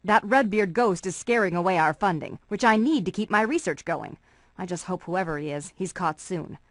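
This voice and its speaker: An actress playing an earnest, polite female scientist in a cartoon game, with a measured, formal way of speaking. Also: fairly low pitch, lively intonation, dry recording, close to an everyday voice. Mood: concerned.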